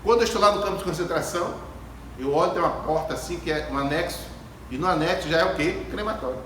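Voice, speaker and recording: A man's voice: on the high side, fast talker, a bit bright. A man gives a reflective spiritual lecture to an audience, telling stories emphatically with repetition and rhetorical questions. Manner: emphatic, repeats phrases and asks rhetorical questions. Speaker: speaker at a Spiritist gathering. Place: ecumenical hall before an audience. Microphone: distant phone camera. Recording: echoey hall, distant phone camera, clear background noise.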